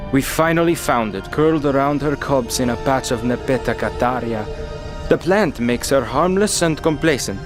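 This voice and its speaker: A man's voice: mid-range voice, close to an everyday voice. A man playing a devoted, gallant and protective father and pilot in an audio drama.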